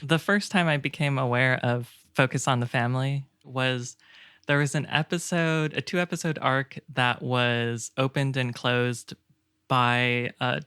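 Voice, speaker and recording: A man chatting casually as a podcast guest, telling stories in a halting, hesitant way. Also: medium pitch, fairly even intonation, pushed and bright, smooth, no room sound, clean studio sound.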